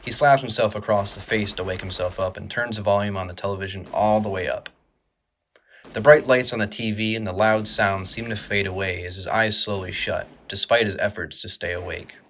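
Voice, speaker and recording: A man's voice: fairly low pitch, fairly even intonation, fairly quick, bright, forceful tone, slight background noise. A man reading scripted dramatic narration for an audio drama.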